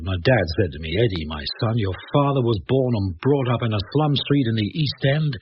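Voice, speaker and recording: A man's voice: medium pitch, lightly acted. An actor playing an earnest, guilt-ridden British man in middle age, brooding and intense, in a radio drama. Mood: bitter recollection. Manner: brooding, intense, self-questioning. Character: earnest, guilt-ridden, successful working-class-born Englishman. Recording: muffled old radio recording, faint hiss.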